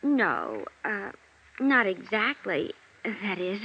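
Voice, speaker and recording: Flustered young stage actress, old radio drama, husky, dull vintage recording. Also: female voice, mid-range voice, big pitch swings, slow, heavily acted. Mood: guarded. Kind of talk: 1950s radio drama acting.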